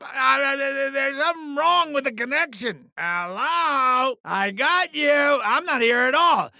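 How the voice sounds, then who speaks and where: An actor playing a sleazy, bloated alien TV producer in a video game, with smarmy, pompous, wheedling showbiz patter. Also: male voice, high-pitched, pushed and bright, no room sound, studio quality, full character voice. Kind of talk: theatrical video game character acting.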